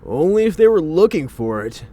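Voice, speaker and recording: Man as a breezy, animated young hacker sidekick. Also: fairly high pitch, normal speed. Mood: focused. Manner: breezy, slangy. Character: laid-back young male computer hacker sidekick. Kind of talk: comic dub dialogue.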